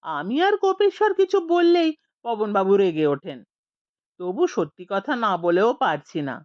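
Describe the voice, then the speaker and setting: A woman's voice: medium pitch, sing-song, a little slow, a little mellow, no echo, dull audio, voice pushed down. A woman voicing a cautious village man who talks in a hesitant, confiding way.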